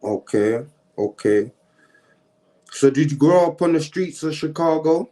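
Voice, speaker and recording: A man's voice: on the high side, a little slow. A man hosting a live-streamed interview, chatting casually and often tagging his questions with slang. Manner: relaxed, uses slang tags.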